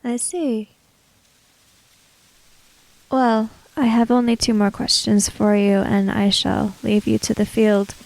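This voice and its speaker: Woman voicing a courteous samurai woman, slow, creaky, measured, reserved, calm, tabletop game. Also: on the high side, no echo, low-quality recording. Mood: gentle, solemn. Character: calm, courteous female samurai questioning a ghost.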